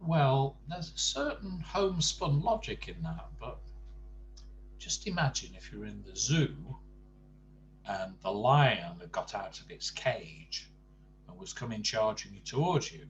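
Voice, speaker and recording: An older man reading a bedtime story to grandchildren, expressive, with chatty asides and questions to the young listener. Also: medium pitch, unhurried, slight background noise, poor audio.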